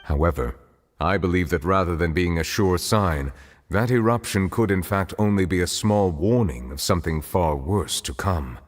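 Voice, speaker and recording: Deep, solemn, dignified judge. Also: male voice, soft background music. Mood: grave, explanatory. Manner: formal, measured, grave. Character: solemn, dignified, formal male judge, calm and grave. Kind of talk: video game voice acting. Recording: long hall reverb, faint noise.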